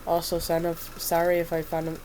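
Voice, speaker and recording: A woman's voice: on the low side, completely flat delivery, brisk, a little mellow, a little hiss or hum. A woman with a stuffy nose casually reading her own unfinished fan story aloud, stumbling and restarting. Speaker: fan fiction reader.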